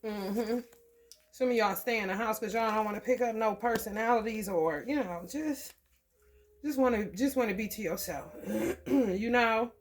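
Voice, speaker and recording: A woman from the American Midwest giving a loose, profane tarot reading. Her throat is scratchy from allergies, and she keeps clearing it and laughing between thoughts. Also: on the high side, normal speed. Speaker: tarot reader. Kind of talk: casual spiritual reading to camera.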